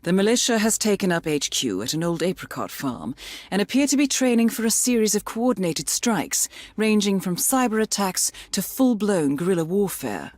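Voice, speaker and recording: An actress playing a composed, shrewd intelligence handler in a video game, speaking in a measured, formal, articulate way. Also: female voice, mid-range voice, sing-song, a little mellow, no echo, lightly acted. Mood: composed, businesslike. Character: composed, shrewd woman handler at an assassination agency. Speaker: mission handler briefing an assassin. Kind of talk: scripted video game voice acting. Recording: music under the voice.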